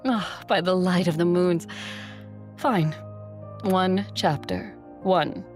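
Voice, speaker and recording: A woman's voice: lowish voice, takes it slowly. A woman playing an imperious, disdainful, ancient female vampire conqueror in an audio drama. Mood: wry, haughty. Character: haughty two-thousand-year-old female vampire conqueror. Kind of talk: audio drama narration and acting. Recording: background music under voice.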